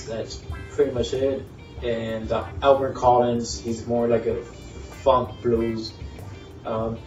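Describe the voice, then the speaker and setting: A man in his thirties from Puerto Rico, a band's singer and guitarist, introducing himself in a casual, halting way with many fillers and restarts. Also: mid-range voice, a little mellow. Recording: roomy, distant, dull sound with faint music.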